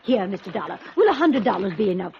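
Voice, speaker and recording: A woman's voice: medium pitch, very animated, fast talker. A woman playing a wealthy, doting society lady in a 1950s radio drama, effusive, fluttery and cooing. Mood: insistent. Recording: muffled vintage radio, faint noise.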